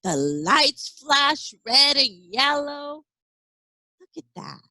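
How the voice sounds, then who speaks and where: A woman's voice: sits high, very animated, drawn-out words, pushed and bright, dry recording, clean studio sound. A woman teacher reading a children's picture book aloud expressively, with chatty asides and questions to young listeners. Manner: acts out sound effects and exclamations. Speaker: early education teacher.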